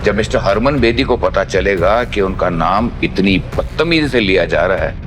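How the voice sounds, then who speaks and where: A man's voice: low-pitched, lively intonation, moderate pace, noisy background. A man playing a pompous, wealthy industrialist with a menacing manner in an audio drama. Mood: sneering. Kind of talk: Hindi audio drama acting.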